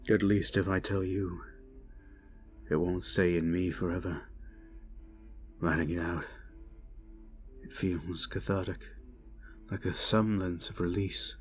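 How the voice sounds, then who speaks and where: A weary knight captain of a fantasy war drama, acted by a man. His voice sits fairly low. He speaks in measured, formal phrases, solemn and haunted. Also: level tone, soft background music. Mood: haunted, somber. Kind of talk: fantasy audio drama acting.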